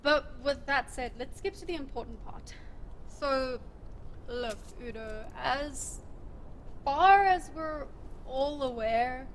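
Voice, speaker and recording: An actress playing a brash, bossy, upbeat desert rescuer in an audio drama, brisk and teasing in her commands. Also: high voice, slight background noise. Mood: blunt, serious.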